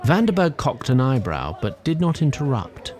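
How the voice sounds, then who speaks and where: A man narrating a scripted fantasy audio drama in a formal, deliberate way, addressing the listener. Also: mid-range voice, sing-song, a little mellow.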